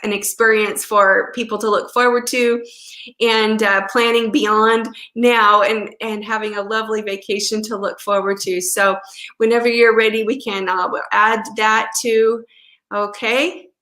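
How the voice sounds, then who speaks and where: A woman's voice: mid-range voice, level tone, measured pace, sharp tone, echoey room. A woman travel advisor hosting a live chat on a video call, speaking in an upbeat, enthusiastic way with many fillers. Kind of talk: casual live-stream chat.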